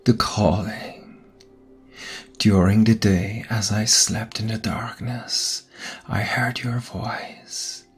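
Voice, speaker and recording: A man playing a brooding, seductive vampire lover in an ASMR roleplay, often whispering, with intimate dramatic pauses. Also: lowish voice, a little mellow, husky, heavily acted.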